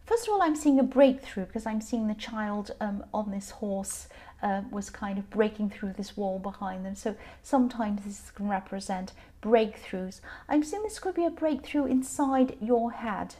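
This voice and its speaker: A slightly tired woman with a faintly croaky voice gives an informal tarot reading, explaining hesitantly and circling back to repeat her phrases. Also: mid-range voice, expressive, normal speed, warm tone. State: a bit tired. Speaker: tarot reader, channel host.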